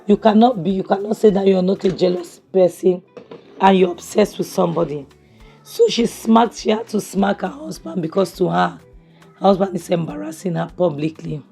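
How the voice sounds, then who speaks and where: A woman, hoarse from a cough, chatting through a casual review of a drama episode at home, opinionated and full of restarts. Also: on the low side, moderate pace, dark tone, faint music behind. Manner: chatty and opinionated, many restarts and "you know". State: hoarse from a cough, losing her voice. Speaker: series review vlogger. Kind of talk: casual spoken review recap. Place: home, near a kitchen appliance.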